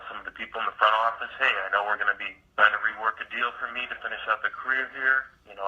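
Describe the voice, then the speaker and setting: A man's voice: on the low side, husky, highs cut off. A man calling in as a fan, leaving a polite, musing, casual message on a phone voicemail. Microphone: telephone.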